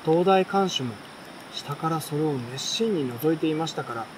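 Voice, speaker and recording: Higher-voiced man reading outdoors by a campfire. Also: lively intonation, moderate pace, noisy background. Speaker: storyteller. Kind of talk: relaxed bedtime story reading, as if for children. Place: outdoors at night beside a campfire. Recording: campfire, insects and stream behind voice.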